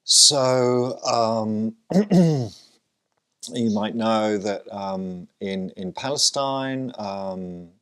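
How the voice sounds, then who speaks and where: A man born in Lebanon, talking as an interview guest, slow to find his words and often restarting with fillers. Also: fairly low pitch, fairly bright tone, smooth. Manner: hesitant, many fillers and restarts. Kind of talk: conversational interview.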